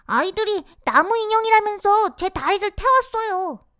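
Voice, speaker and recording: Woman as naughty puppet boy, squeaky high, childlike, storybook. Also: animated, moderate pace. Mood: sweet. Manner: bright, childlike. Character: mischievous lying wooden puppet boy. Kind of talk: storybook voice acting.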